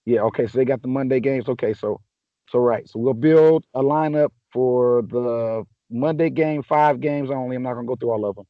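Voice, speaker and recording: Guy w a sore throat hosting a chill sports chat, kinda raspy, slightly dull dry sound. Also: medium pitch, fairly even intonation, normal speed, no echo. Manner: laid-back, joking, rambling with many restarts. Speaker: podcast host. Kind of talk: casual fantasy sports chat.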